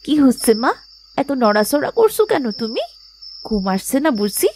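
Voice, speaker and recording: Casting: earnest young village daughter in cartoon melodrama, high-pitched and animated, dignified but emotional. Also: female voice, moderate pace, a little hiss or hum. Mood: caring.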